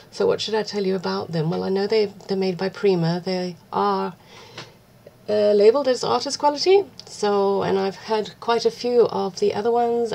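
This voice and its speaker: Husky woman with a cold, chatty. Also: mid-range voice, darkish tone. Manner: chatty, self-deprecating, rambling asides. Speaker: art vlogger. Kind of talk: casual craft tutorial chat.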